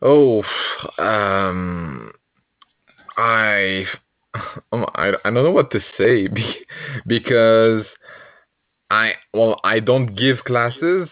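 A young man talks slowly in English, hesitating and restarting often as he explains himself. His voice sits low for a man. The sound is tinny and dry, like a video call. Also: no room sound. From Quebec, Canada. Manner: hesitant, many fillers and restarts, laughs often. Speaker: podcast guest, improv player. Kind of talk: casual chat.

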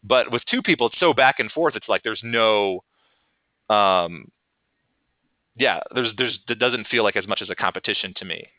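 Rambling, animated podcast host man. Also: on the low side, moderate pace, dry recording. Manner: animated, rambling, frequent restarts. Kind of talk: casual chat. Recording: tinny call audio, dry.

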